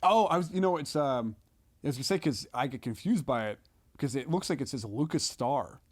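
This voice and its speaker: A man chatting casually as a comedian guest on a podcast, joking in a halting, repetitive way. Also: medium pitch, lively intonation. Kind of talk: casual comic chat.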